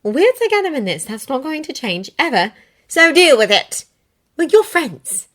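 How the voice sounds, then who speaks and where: A woman voicing an earnest, anxious teenage witch who speaks in a high, pleading and insistent way. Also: sing-song, moderate pace, a bit bright.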